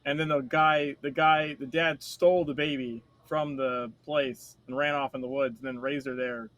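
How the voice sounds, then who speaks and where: A man co-hosting a casual chat, telling stories in a rambling, animated way and swearing freely. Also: mid-range voice, normal speed, dry recording, highs cut off. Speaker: podcast co-host.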